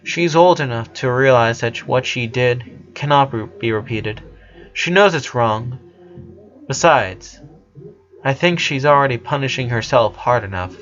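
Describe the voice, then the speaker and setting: A man reading the part of a gentle, caring mother who soothes her young son in a storybook. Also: mid-range voice, animated, a little slow, lo-fi sound. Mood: gently reassuring.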